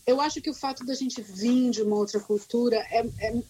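A woman, a teacher, chatting casually, telling stories about herself in an animated, self-mocking way with frequent restarts. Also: on the high side, a little fast, husky, poor audio. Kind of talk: casual conversation.

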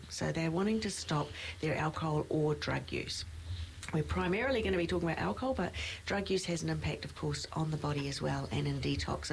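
Low gravelly woman podcast host. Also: a little hiss or hum, rough recording. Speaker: podcast co-host, registered nurse. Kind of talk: casual podcast chat.

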